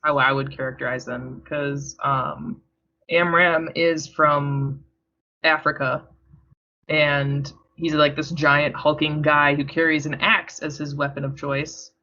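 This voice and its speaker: Bright young woman explaining a book. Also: deep voice, takes it slowly, slight background noise. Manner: explanatory, relaxed, teasing. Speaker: book podcast co-host. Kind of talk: casual book discussion. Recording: dull lo-fi recording.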